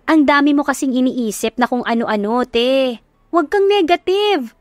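A woman's voice: sits high, moderate pace, dry recording, faint music behind, pitched above the performer's own voice, close to an everyday voice. A woman voicing a sassy, encouraging young female best friend in an audio love story, with slangy, emphatic, teasing pep talk. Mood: emphatic encouragement.

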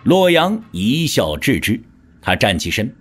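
A man's voice: medium pitch, very animated, a little slow, a bit bright, soft background music. A man narrating a martial-arts audio drama in a dramatic, scripted storytelling style.

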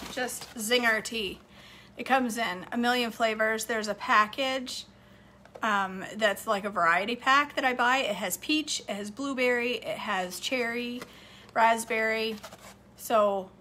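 Chatty bright-voiced woman in her forties. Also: fairly high pitch, slight background noise. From Maine, USA. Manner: chatty, rambling, quick to laugh. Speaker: home cook and livestream host. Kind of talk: casual live-stream chat answering viewer comments. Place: home kitchen, indoors. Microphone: phone camera at arm's length.

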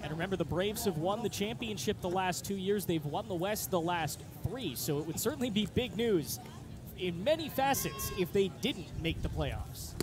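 Guy with a high voice doing color commentary on baseball radio, chatty analysis. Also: lively intonation, moderate pace, over music. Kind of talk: conversational sports analysis.

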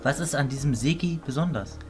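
A man playing an earnest wizard teacher in an amateur fantasy audio drama, speaking in a measured, sincere way. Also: a little high, faint music behind, natural voice. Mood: puzzled, firm. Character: earnest wizard teacher, loyal to his pupil.

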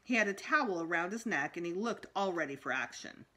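A woman's voice: on the low side, expressive, fairly quick, sharp tone. A middle-aged woman reading a children's book aloud expressively as a school storytime reader. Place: small room indoors at home.

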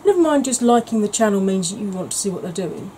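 Rambling woman, mellow voice. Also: medium pitch, lively intonation, quick pace, slight background noise. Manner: rambling, self-mocking, soft-spoken at times. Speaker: makeup vlogger. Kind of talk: casual chatty makeup vlog. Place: indoor home room, kitchen.

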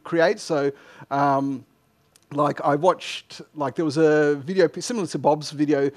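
A middle-aged man giving an informal tech talk and answering questions, self-deprecating and often rephrasing mid-sentence. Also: on the high side. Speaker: conference speaker. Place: indoor lecture room, on stage at a lectern. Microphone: headset microphone.

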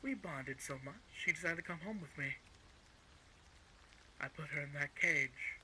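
An actor playing a smug, menacing male crime boss in an audio roleplay, speaking in a mocking, condescending and deliberate way. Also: on the high side, sharp tone, slight background noise, poor audio. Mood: coolly menacing. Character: smug, menacing male crime-organization villain, coolly coercive. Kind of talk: scripted audio roleplay villain acting.

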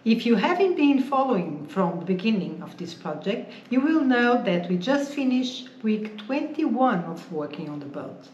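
A woman's voice: a little high, big pitch swings, normal speed, few pauses, a little mellow, lo-fi sound. A woman in her forties talking steadily to camera, plainly listing items and prices. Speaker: boat-building vlogger. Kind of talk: casual chat to camera. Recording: echoey room with faint noise.

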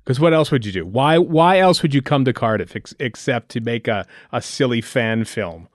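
A man's voice: medium pitch, animated, normal speed, no room sound, studio quality. A man chatting casually and thinking aloud as a podcast host. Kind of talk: casual fan commentary chat while watching a show.

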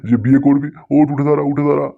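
A man's voice: a little high, completely flat delivery, racing, creaky, heavy background noise. Man voicing a flustered schoolmaster, thin phone-like sound. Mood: flustered scolding. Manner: chuckling, repeats commands. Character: flustered, chuckling village schoolmaster, scolding fondly. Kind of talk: comic cartoon dialogue.

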